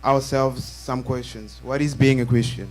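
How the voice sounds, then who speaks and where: A man interpreting a sermon live in church in short bursts, halting and rephrasing as he goes. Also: medium pitch, fairly even intonation, low-quality recording. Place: church, before a congregation. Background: faint music.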